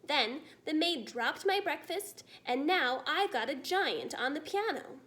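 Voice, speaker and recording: A woman's voice: high voice, animated, unhurried, fairly bright tone. A woman voicing an unflappable, commanding queen in a storybook, matter-of-fact and regal. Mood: composed, brisk.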